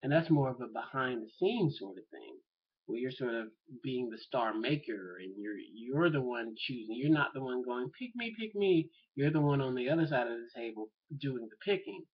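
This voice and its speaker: Man, casual vlog chat, husky voice, rambling with fillers, slightly muffled recording. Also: mid-range voice, animated, normal speed. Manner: rambling, thinking aloud with many fillers. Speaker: vlogger.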